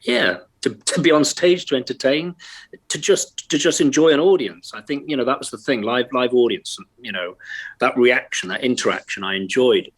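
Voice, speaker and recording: A middle-aged man with a light Welsh accent chats as an interview guest, self-deprecating and quick to laugh. He talks from his bedroom at home over a remote call. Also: mid-range voice, husky. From Wales. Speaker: actor, guest. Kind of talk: casual interview chat.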